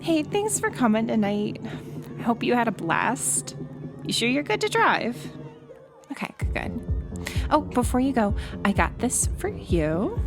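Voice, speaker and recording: A woman acts out a friendly, giggly party host in a casual audio drama. Her voice is very animated in pitch but takes its time, joking informally with music playing clearly behind her. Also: measured pace.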